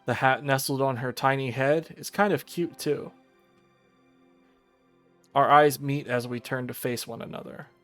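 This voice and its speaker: Mid-range streamer voice, visual novel narration, casual read-aloud style, soft background music. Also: mid-range voice, fairly even intonation, measured pace, pauses often.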